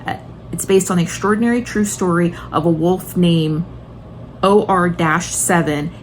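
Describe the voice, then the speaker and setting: A woman's voice: fairly low pitch, moderate pace, slight background noise. A woman in her late thirties chatting casually and enthusiastically to viewers as she recommends books, with emphatic, informal delivery. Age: late 30s. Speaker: homeschooling mother and video host.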